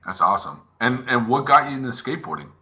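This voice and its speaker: A man's voice: medium pitch, sing-song, quick pace, sharp tone, muffled recording. A man with an American East Coast accent hosting a casual interview, upbeat and slangy. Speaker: podcast host.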